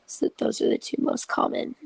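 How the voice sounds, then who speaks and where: A host with a cold and a raspy, breathy voice, explaining a topic slowly and patiently in a casual vlog, often dropping into half-whispers. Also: dry recording. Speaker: video host and patient support group leader.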